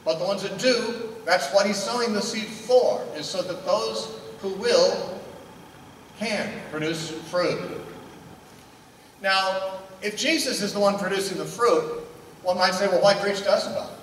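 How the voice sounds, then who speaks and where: A man's voice: sits high, a little slow, fairly bright tone, recorded from a distance, slight background noise. Older man preaching in an echoey hall. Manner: teaching, asks questions and answers them. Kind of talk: sermon. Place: indoor meeting room. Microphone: handheld mic.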